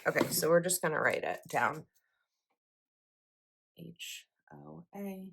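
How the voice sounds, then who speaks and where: A woman's voice: sits low, animated, slow, gravelly, a bit bright, slight background noise, poor audio. A young woman vlogger chatting casually as she decorates a planner, her voice a little froggy this morning, rambling with likes and ums.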